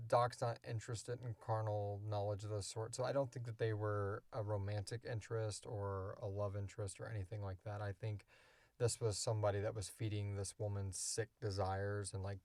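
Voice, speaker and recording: A man's voice: fairly low pitch, darkish tone, dry recording. A man hosting a podcast, answering questions in casual, unscripted talk from a small booth. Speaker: podcast host and creator. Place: small enclosed recording booth.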